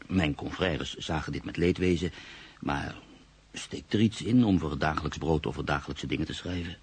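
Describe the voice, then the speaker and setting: An actor playing a reflective, hesitant journalist and writer in his mid-thirties in a radio drama, speaking in a measured, literary way. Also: rumbling low, vocal fry, husky, full character voice. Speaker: actor narrating as the lead character. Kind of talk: radio drama monologue and dialogue.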